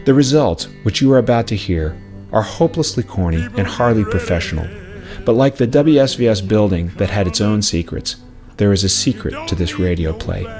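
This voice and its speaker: A man reading a scripted personal memoir in a measured, reflective storytelling way. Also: fairly low pitch, expressive. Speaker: narrator of own memoir, former radio station worker. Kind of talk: scripted narration. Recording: music playing behind the voice. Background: plucked acoustic string music.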